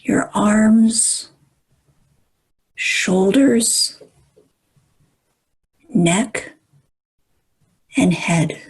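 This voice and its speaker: Slow, breathy woman guiding meditation. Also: medium pitch, rough recording. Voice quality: soft, breathy, at times near whisper. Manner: calm, soothing, measured phrases with long pauses. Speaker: meditation guide. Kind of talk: guided meditation.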